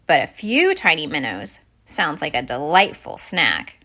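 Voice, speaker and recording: A woman voicing a hungry male snapping turtle in a picture book, scheming yet soft-hearted, in a dry, self-satisfied mutter. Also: low-pitched, wildly swooping pitch, measured pace, voice pushed down, heavily acted. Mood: scheming, smug.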